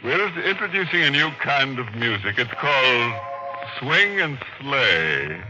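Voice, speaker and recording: Macabre male radio horror host, 1940s style: theatrical, slow, tongue-in-cheek, dull noisy broadcast sound. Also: medium pitch, very animated, over music. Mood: gleefully macabre. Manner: theatrical, drawn-out delivery with morbid jokes. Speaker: radio mystery show host.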